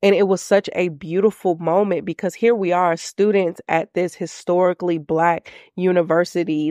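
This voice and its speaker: A woman's voice: fairly low pitch, darkish tone, dry recording, studio quality. A woman in her thirties hosting a faith-based business podcast, sounding congested and nasal from a cold, speaking earnestly and reflectively. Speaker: podcast host.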